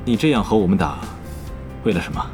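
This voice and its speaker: A man acting a serious young esports team captain in an audio drama, speaking in a measured, earnest, formal way. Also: deep voice, sing-song, a little mellow, soft background music. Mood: probing, sincere.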